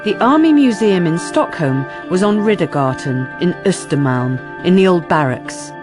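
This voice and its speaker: A woman's voice: lowish voice, lively intonation, moderate pace, over music. A woman narrating a scripted radio drama.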